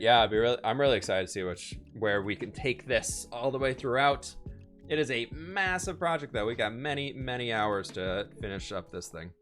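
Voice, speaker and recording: A man streaming a building game, upbeat and chatty, his voice a little hoarse, answering questions from chat. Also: lowish voice, fairly bright tone. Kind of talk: casual live-stream chat while building.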